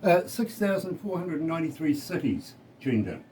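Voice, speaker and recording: A man's voice: on the high side, sing-song, normal speed, darkish tone, a little hiss or hum, poor audio. A man with a New Zealand accent, a radio DJ chatting casually and jokingly in a live interview.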